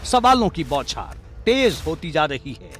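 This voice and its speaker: A male TV reporter reads a dramatic news script. His voice sits fairly high and swings with very animated intonation. A music bed plays softly behind him. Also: a little slow.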